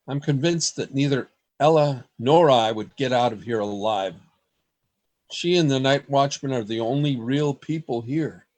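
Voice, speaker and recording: An earnest, anxious man acted by a man tells his story as if from a diary in a radio drama. His voice is rough-edged and unhurried. The pitch moves widely, giving it a theatrical, deliberate feel. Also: darkish tone. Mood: tense, secretive. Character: earnest, anxious man trapped among secret store dwellers. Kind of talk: radio play acting with diary narration.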